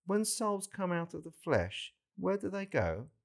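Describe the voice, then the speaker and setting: A man's voice: high voice, sing-song, measured pace, gravelly, pitched above the performer's own voice, full character voice. A man voicing an earnest disciple who puts pleading, inquisitive questions to his divine master in a scripture reading. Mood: earnest questioning.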